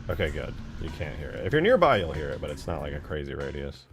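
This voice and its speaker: A man's voice: extremely low pitch, big pitch swings, fast talker. A man talking casually on a livestream as he works on a game mod, informal and half muttering to himself. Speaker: game modder streaming his work. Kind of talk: casual livestream chat while working. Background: background music.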